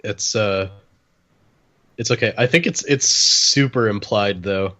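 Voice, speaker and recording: A man chatting casually and jokingly about films as a podcast guest, in a halting, hesitant way. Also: lowish voice, sharp tone, highs cut off. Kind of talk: casual joking film chat.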